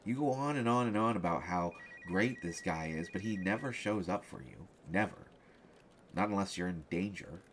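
A man playing a flustered, distracted reporter in an audio roleplay, halting and stammering, jealous and defensive. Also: deep voice, expressive, slight background noise. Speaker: voice actor in a solo roleplay audio. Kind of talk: one-sided conversational audio roleplay.

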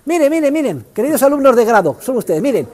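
Older professor, high-pitched, theatrical lecture voice in a big classroom. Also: male voice, very animated, fast. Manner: theatrical, emphatic, swings from shouting to low asides. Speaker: economics professor. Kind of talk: university lecture. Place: large university classroom.

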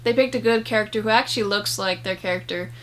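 A woman's voice: mid-range voice, fast, a bit bright. A young adult woman giving a casual, emphatic movie review to camera in a room at home. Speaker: video host and game reviewer. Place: small room at home, indoors.

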